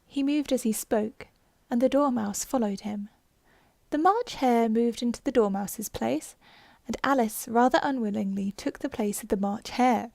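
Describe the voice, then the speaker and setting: A woman narrating a classic storybook in a soft, unhurried ASMR style, slipping into whispers now and then. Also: on the high side, creaky, no echo. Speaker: audiobook narrator.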